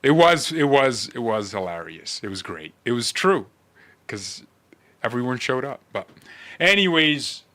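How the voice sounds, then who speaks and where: A man rambling casually and comedically to livestream viewers in a brash, mocking, animated manner. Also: medium pitch, a little slow.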